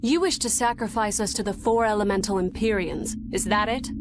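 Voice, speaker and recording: A woman's voice: medium pitch, a little fast, close to an everyday voice. An actress playing a bitter, righteous woman in a video game, accusing coldly in formal phrases. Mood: scornful. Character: bitter, righteous female accuser, cold and scornful. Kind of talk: dramatic video game voice acting. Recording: clear background noise.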